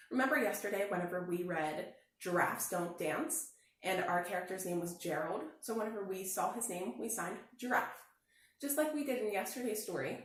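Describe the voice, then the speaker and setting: A woman teacher speaking slowly and clearly, encouraging children through a signing lesson and read-aloud. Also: mid-range voice. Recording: very echoey room.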